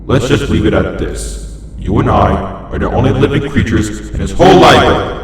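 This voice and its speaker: A sinister living doll voiced by a man speaks like a gleeful old lady in a horror story, low and swathed in long reverb. The taunts are sugary and possessive, drawn out with menace. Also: normal speed, close to an everyday voice. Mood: gloating. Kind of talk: horror fan fiction reading. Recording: cavernous echoing reverb, faint noise.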